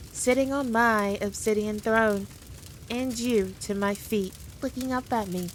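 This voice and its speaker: A woman's voice: medium pitch, slight background noise, lightly acted, low-quality recording. A woman playing a powerful demon queen in a scripted roleplay, talking down to the listener in a teasing, dominant, condescending way. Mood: imperious. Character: haughty, playful, all-powerful female demon queen.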